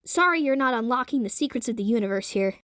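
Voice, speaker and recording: A woman's voice: a little high, expressive, fast, fairly bright tone, no room sound, studio quality. A woman playing a casual, upbeat young teenage girl who teases bluntly in an audio drama. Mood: polite, wry. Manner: blunt, slangy, sarcastic.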